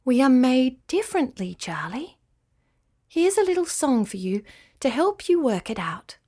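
A woman voices a proud, kindly lady spider from a storybook. Her voice is silky and whispery, lilting upward as she patiently explains, with gentle care and an unhurried pace. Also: sits high, sing-song, pitched above the performer's own voice, heavily acted. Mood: patient, frank. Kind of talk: children's storybook character voice.

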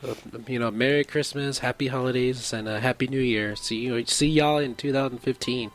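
A man's voice: medium pitch, fairly even intonation, brisk, low-quality recording. A man in his late twenties co-hosting a casual chat, laid-back and teasing. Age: 20s. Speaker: podcast co-host.

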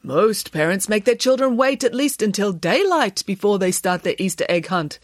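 A woman's voice: medium pitch, big pitch swings, no room sound, studio quality, natural voice. A woman voicing a stern girl who poses as a ghost, sounding authoritative and dramatic in a storybook. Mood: explaining. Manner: authoritative, dramatic, slow delivery.

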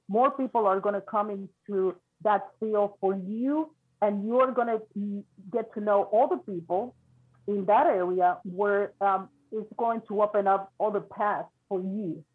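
A woman with a husky voice gives a spiritual reading over a tinny, phone-like line. She talks in a halting, reassuring way and often restarts her words. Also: medium pitch. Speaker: psychic reader.

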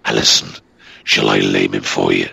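Sinister talking hellhound, low and threatening. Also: male voice, steady tone, normal speed, vocal fry, pushed and bright, breathy edge, no room sound, exaggerated voice. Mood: menacing.

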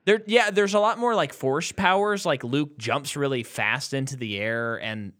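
A man's voice: mid-range voice, moderate pace, a bit bright. A man co-hosting a podcast, chatting casually about a movie and acting out quotes with animation. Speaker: podcast co-host.

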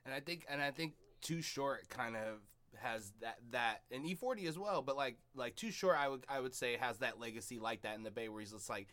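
Bright-voiced man hosting a podcast. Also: medium pitch, brisk, hardly any pauses. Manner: enthusiastic, loose slang. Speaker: podcast host. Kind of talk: casual podcast interview.

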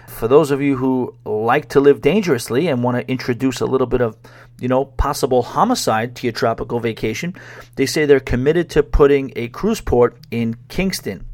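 A man hosting a solo podcast, talking casually in a wry, sarcastic way with frequent restarts. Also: medium pitch. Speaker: podcast host. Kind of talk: casual solo podcast talk about cruises.

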